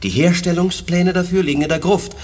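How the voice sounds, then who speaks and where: An actor playing a composed, thoughtful telepath officer with an earnest, respectful manner in a 1980s German radio play. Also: male voice, high-pitched, steady tone, fairly quick, a little mellow.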